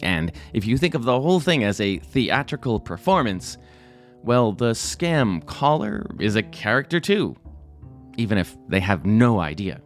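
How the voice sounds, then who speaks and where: A man's voice: on the low side, very animated, unhurried, faint music behind. A man interviewing a guest, asking questions in an appreciative manner. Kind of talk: broadcast interview.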